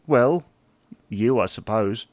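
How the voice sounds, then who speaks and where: A man's voice: mid-range voice, slow pace, darkish tone, no echo, natural voice. A man playing a teasing, sceptical husband in a comedy audio drama, wry and reasoning. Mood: teasing, cautious. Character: teasing, sceptical husband, cautious about money.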